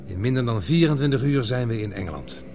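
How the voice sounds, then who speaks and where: A man's voice: mid-range voice, very animated, quick pace, over music, natural voice. An actor playing an earnest, protective young man in a radio drama, speaking in a hesitant, reassuring way. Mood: calm, earnest. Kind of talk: radio drama acting in Dutch.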